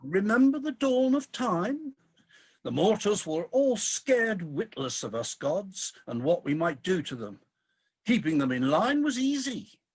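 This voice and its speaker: A woman playing a grumpy, defensive, weary old male god in a comic radio play, husky and indignant. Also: medium pitch, sing-song, measured pace. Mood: indignant nostalgia. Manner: indignant, grumbling.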